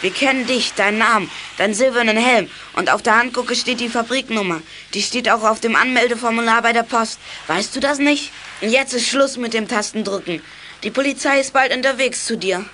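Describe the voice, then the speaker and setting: A woman's voice: fairly high pitch, a little fast, sharp tone, a little hiss or hum. A woman playing a plucky boy who leads a young detective gang in a children's radio play. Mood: stern, triumphant.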